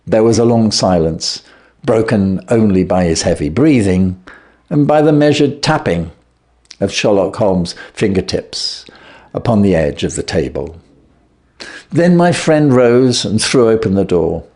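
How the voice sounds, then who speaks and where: A man's voice: lowish voice, lively intonation, measured pace, warm tone. A man narrating a classic story aloud, then chatting to viewers with hesitant fillers. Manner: hesitant, many fillers in chat. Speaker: audiobook reader. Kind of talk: storybook narration and casual sign-off.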